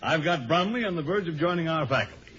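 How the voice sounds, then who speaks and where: Jaunty old board governor, lively swings. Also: male voice, fairly high pitch, fast. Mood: brisk, pleased. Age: older. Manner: hearty, clipped sentences. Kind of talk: 1950s radio comedy acting. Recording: dull vintage radio recording.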